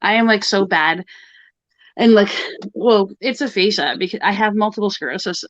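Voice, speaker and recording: A woman with a New York accent hosting a live stream, chatting casually with quick asides. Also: mid-range voice, muffled recording. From New York area, US. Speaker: tarot reader hosting a stream.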